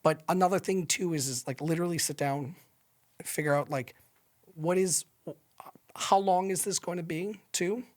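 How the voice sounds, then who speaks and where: Man, nasal, a little high, rambling guest in casual chat. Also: normal speed. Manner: loose, rambling with many fillers. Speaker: podcast guest.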